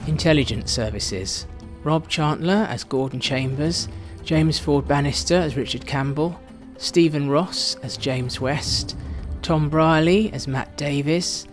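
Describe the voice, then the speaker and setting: Slow-paced man reading credits. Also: fairly high pitch. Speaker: credits announcer. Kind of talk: scripted cast list read-out. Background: music.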